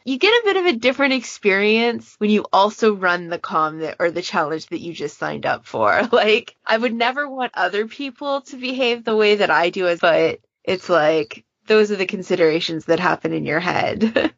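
A woman's voice: mid-range voice, lively intonation, moderate pace, a bit bright, no room sound, lo-fi sound. A woman chatting casually and informally as a moderator on a podcast panel.

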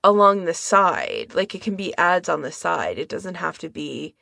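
A woman's voice: lowish voice, very animated, measured pace, gravelly, bright, forceful tone, no echo, studio quality. A woman podcast co-host in casual conversation, talking informally and thinking aloud as she goes. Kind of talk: casual chat about fan hobbies.

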